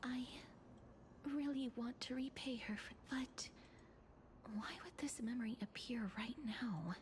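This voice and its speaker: A woman voicing a gentle, solemn young maiden of death in a game, speaking in a soft, breathy, measured and formal way. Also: fairly high pitch, a little hiss or hum, close to an everyday voice.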